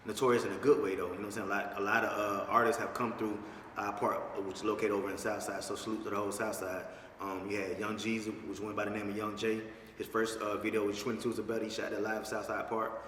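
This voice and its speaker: Echoey, fast-talking Southern man. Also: lowish voice, level tone, fairly bright tone. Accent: Southern US, Georgia. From Dublin, Georgia, USA. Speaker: interview guest, club promoter and host. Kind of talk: casual conversational interview. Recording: echoey reverberant room, distant mic, faint noise.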